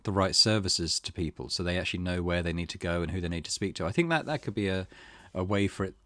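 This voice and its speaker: A man's voice: low-pitched, fast talker, bright, forceful tone, no echo. A man hosting a let's play, reading game text aloud and adding his own commentary.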